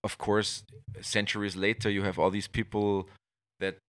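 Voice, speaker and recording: Middle-aged austrian guy, really low voice, dry close sound, keeps restarting his sentences. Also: little pitch movement, normal speed, fairly bright tone, smooth, dry recording. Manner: rambling, many restarts and fillers. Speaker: guest. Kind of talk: conversational interview.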